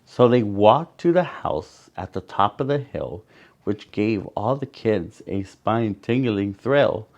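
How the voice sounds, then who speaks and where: A man reading a picture book aloud to children as a storyteller, with dramatic pauses and asides to his young listeners. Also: on the low side, expressive, a little mellow, highs cut off.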